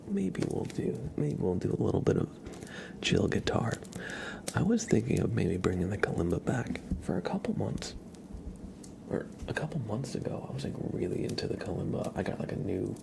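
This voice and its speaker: Male ASMR streamer, deep and hushed, soft-spoken chat with a freely moving pitch. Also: gravelly. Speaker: ASMR livestream host. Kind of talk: whispered ASMR livestream chat.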